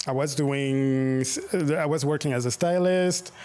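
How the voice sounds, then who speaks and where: A man in his thirties speaks as an interview guest, thoughtful and unhurried. He circles back and restarts his sentences while searching for the right words. His voice sits at an ordinary middle pitch. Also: a little mellow. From Rwanda, raised in Belgium. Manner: reflective, with many fillers and restarts. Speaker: guest, makeup artist and photographer. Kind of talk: casual interview conversation.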